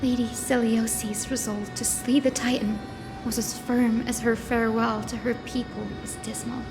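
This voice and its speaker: A woman playing an earnest, curious and polite young storyteller in a gentle video game style. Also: fairly high pitch, level tone, normal speed, lightly acted, low-quality recording. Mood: wistful. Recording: loud music behind the voice.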